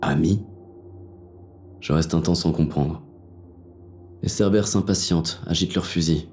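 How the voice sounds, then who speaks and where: An actor playing a hard-boiled, bitter police inspector who narrates a thriller in terse, intense first person. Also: male voice, low-pitched, a little slow, darkish tone, faint music behind. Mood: tense, wary. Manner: gritty, terse, intense delivery.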